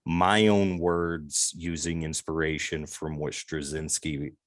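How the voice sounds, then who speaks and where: A man's voice: a bass voice, little pitch movement, slow, dry recording, studio quality. A man co-hosting a podcast, chatting casually with many fillers and laughing often. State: recovering from losing his voice. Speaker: podcast co-host.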